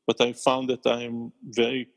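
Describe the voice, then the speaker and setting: A man with an Israeli accent, a company founder, answering questions in a podcast interview with thoughtful, halting replies and frequent laughs. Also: medium pitch, monotone, vocal fry. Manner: thoughtful, many restarts, laughs often.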